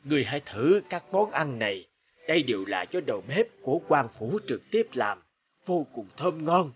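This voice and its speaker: An actor playing a grateful, dignified older mandarin in a cartoon folk tale, formal and courteous. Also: male voice, on the high side, big pitch swings, moderate pace, breathy edge, dull audio, heavily acted. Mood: pleased, gracious. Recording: music under the voice.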